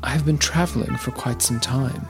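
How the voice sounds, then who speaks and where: A wandering dervish from a radio-play folktale, acted out by a performer, a man of prophecy speaking with clear music behind him. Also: medium pitch, moderate pace, close to an everyday voice. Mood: courteous, curious. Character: travelling dervish, male prophetic guest.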